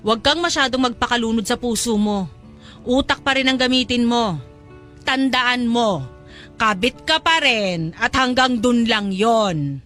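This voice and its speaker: A woman's voice: fairly high pitch, measured pace, a bit bright, faint music behind. An actress playing a pushy, scheming middle-aged mother in a Tagalog audio drama, coaxing and insistent. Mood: accusing, stern.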